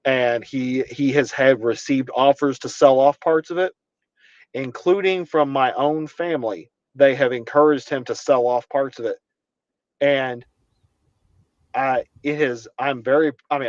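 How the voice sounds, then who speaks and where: A man's voice: mid-range voice, no echo, muffled recording. A young man from Kentucky talking earnestly as a podcast guest, often restarting his sentences as he rambles. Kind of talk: casual conversation.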